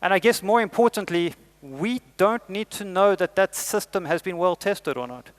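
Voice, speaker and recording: A man's voice: high-pitched, animated, normal speed. South African man giving a tech talk. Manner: emphatic rises on key points, frequent "uh" fillers. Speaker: conference speaker. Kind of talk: conference talk.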